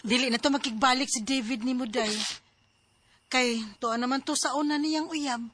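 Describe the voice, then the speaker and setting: A high, sharp young woman's voice as the gossipy best friend in a radio drama. She is frank and insistent. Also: fairly even intonation, moderate pace, slight background noise. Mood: advising.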